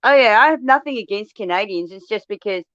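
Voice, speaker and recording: A woman's voice: mid-range voice, expressive, fairly quick, a bit bright, dry recording, dull audio. A woman from Australia with an Australian accent, chatting casually, blunt and swearing freely as she tells stories. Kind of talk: casual chat.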